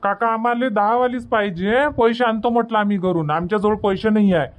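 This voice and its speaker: Man doing a high, whining little village boy. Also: brisk, close to an everyday voice. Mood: insistent. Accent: Varhadi Marathi. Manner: whining, insistent, repetitive. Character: pestering little village boy begging for money. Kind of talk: cartoon comedy dubbing.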